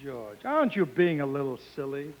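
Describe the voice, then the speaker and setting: A man's voice: mid-range voice, very animated, normal speed. A man hosting a 1940s radio comedy show, wisecracking and eager, playing off a live studio audience. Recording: dull old radio broadcast with studio audience and hiss.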